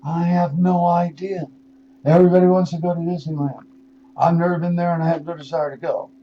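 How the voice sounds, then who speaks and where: An older man, in his sixties or beyond, hosting a radio show with a rambling spiritual monologue, musing and halting, then leaning into words for emphasis. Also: a little high, level tone. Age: 60s or older. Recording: dull lo-fi radio audio with noise.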